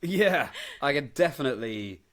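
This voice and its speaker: A man's voice: mid-range voice, expressive, moderate pace, a bit bright. A man hosting an interview chat, speaking politely and appreciatively in wordy, encouraging turns. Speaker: interview show host.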